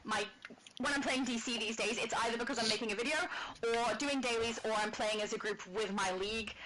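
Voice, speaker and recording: A woman's voice: a little high, steady tone, a little fast, over a noisy crowd, low-quality recording. A woman from Australia with a light, slightly British-tinged Australian accent, casually chatting and laughing while hosting a gaming livestream and reading chat aloud. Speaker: livestream host.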